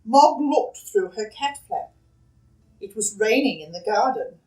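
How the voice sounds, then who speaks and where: Slow, very expressive woman storyteller. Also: medium pitch, distant mic. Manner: expressive, dramatic shifts between hushed and loud. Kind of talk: children's picture book read-aloud. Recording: roomy echo, away from the mic.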